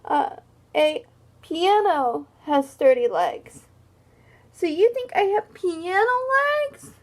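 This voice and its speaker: A woman's voice: sits high, very animated, slow, darkish tone, voice pushed up, full character voice. A woman voicing an easily wounded female storybook hippo, wailing and indignant in a dramatic way.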